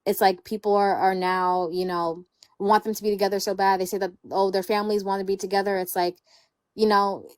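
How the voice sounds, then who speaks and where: A woman's voice: medium pitch, little pitch movement, fairly quick, darkish tone, smooth, no echo, clean studio sound. A young woman hosting a casual podcast chat, speaking in a rambling way full of "like" and "you know", careful to leave room for nuance. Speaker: podcast host. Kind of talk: casual conversation.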